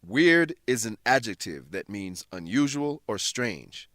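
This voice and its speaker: A man's voice: on the low side, very animated, a little slow, a bit bright, no room sound, clean studio sound. A man giving scripted educational narration as a host and English teacher, in a didactic, carefully enunciated manner.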